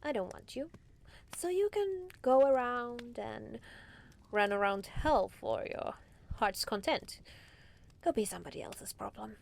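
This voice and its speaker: A woman voicing a haughty, teasing demon lord in an ASMR roleplay, condescending and theatrical, with breathy murmurs. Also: fairly high pitch, animated, a little slow. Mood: scornful.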